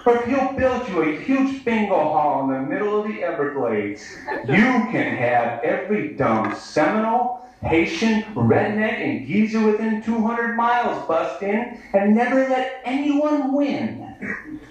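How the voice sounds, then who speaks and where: A sardonic American man performs a poem at a live bar reading, declaiming it at an unhurried pace. His voice sits fairly high for a man, and it comes through very echoey, some way from the microphone. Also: big pitch swings. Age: 30s. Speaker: poet. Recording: echoey live bar recording, distant mic.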